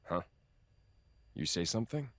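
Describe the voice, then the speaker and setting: A gruff fighter with a low voice, recorded dry. He is a blunt, informal comrade from a video game who speaks in short colloquial phrases. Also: sing-song, unhurried, a bit bright, no echo, exaggerated voice. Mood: uneasy concern. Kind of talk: video game cutscene acting.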